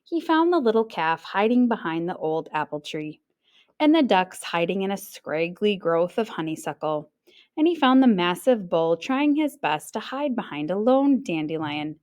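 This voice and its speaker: A woman reading a children's picture book aloud as a teacher would, expressive in her narration and acting out little sounds. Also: mid-range voice, moderate pace.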